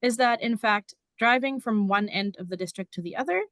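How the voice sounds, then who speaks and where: A woman's voice: a little high, a little fast, fairly bright tone, dry recording, clean studio sound. A woman from Germany, a district numeracy teacher, explaining casually with frequent ums during a conference talk over a video call. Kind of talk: conference presentation.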